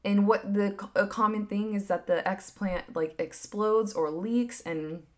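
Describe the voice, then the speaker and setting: A woman in her thirties chatting casually and candidly as a podcast host, swinging between emphatic bursts and hushed asides, with lots of filler words. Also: medium pitch, measured pace. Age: 30s. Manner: frequent filler "like", candid and swearing. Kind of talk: casual opinion chat.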